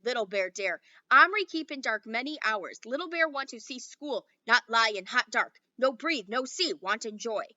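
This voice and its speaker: A stubborn little man barks out short, broken phrases, as a woman reads him aloud. The voice is bright and close, on a dry, slightly dull recording. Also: fairly high pitch, expressive, normal speed, few pauses, no room sound. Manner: clipped, stubborn, loud. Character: small proud man speaking broken English.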